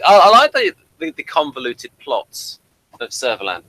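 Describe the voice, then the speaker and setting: A man's voice: on the high side, huge pitch swings, normal speed, sharp tone, dry recording, poor audio. A man co-hosting a casual chat that reviews a TV episode. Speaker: review show co-host.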